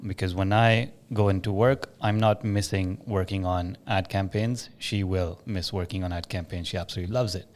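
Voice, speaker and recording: A man's voice: low-pitched, fairly even intonation, normal speed, hardly any pauses. A man hosting a podcast, chatting casually as he interviews a guest and shares his own experience as a business owner.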